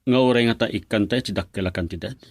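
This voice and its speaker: A man playing a low-voiced, insistent man. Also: quick pace, no room sound, close to an everyday voice. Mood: urgent. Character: troubled man seeking secret information. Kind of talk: Ilocano radio drama acting.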